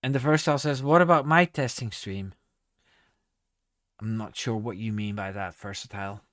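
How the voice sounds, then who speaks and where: A man's voice: on the low side, normal speed, fairly bright tone, no echo, clean studio sound. A man hosting a casual live stream, reading viewer comments aloud and answering with many ums and restarts.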